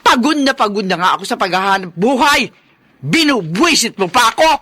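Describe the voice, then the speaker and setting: A woman's voice: on the high side, big pitch swings, brisk, breathy edge, no echo, studio quality, heavily acted. A woman with a Filipino accent playing an irritable, overworked wife in a radio drama, scolding impatiently. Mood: irritated, scolding. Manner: scolding, sharp-tongued.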